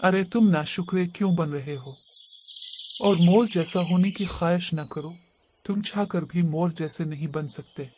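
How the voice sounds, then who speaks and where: A blunt, scolding bird from a cartoon fable , played by a man, speaking emphatically. The voice sits fairly high for a male, over clear background noise. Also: measured pace, natural voice. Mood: reproachful. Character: blunt, scolding male birds, crows and peacocks. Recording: clearly noisy cartoon audio track.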